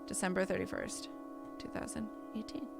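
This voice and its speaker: A woman's voice: medium pitch, moderate pace, vocal fry. A woman live-streaming a game, reading story text aloud expressively and chatting casually in between. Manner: expressive reading mixed with chatty, self-interrupting reactions. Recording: music behind the voice. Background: game music.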